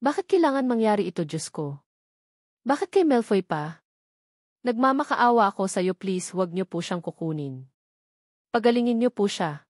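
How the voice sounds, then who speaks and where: A woman's voice: medium pitch, sing-song, normal speed, pauses often, a little mellow, no echo, studio quality. A Filipino woman voicing a frantic, devoted friend praying desperately in a romance audiobook. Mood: desperate pleading. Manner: desperate, imploring.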